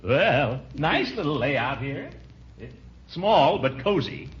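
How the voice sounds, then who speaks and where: A man's voice: fairly high pitch, big pitch swings, takes it slowly. An American man playing a blustering, self-important middle-aged water commissioner in 1940s radio comedy style, pompous and theatrical. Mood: awkward, fussy. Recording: dull, noisy 1940s radio broadcast.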